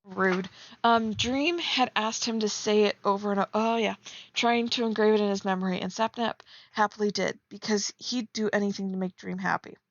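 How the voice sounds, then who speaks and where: A woman's voice: medium pitch, fairly even intonation, moderate pace, dry recording, dull audio. A woman chatting casually on a stream as she reads aloud and critiques, teasing and blunt with sarcastic asides. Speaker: streamer reading and critiquing fan fiction. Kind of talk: casual live commentary mixed with reading aloud.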